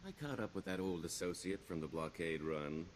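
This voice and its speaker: An actor playing a roguish, glib smuggler and con artist in a video game, with a wry, theatrical delivery. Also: male voice, sits low, a little fast, a little hiss or hum, natural voice, low-quality recording. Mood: rueful, determined. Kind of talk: video game character dialogue.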